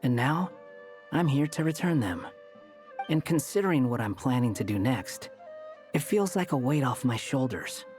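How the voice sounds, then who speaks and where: An actor playing a sardonic, aloof young traveling scholar in a video game, dry and smug. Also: male voice, medium pitch, lively intonation, normal speed, darkish tone, faint music behind, close to an everyday voice. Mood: wry.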